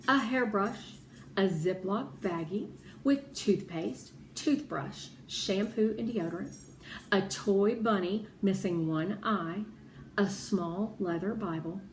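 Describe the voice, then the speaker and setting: Female read-aloud narrator, unhurried and deliberate, expressive storytelling, echoey room. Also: mid-range voice. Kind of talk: expressive children's audiobook narration. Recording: roomy echo, faint background noise.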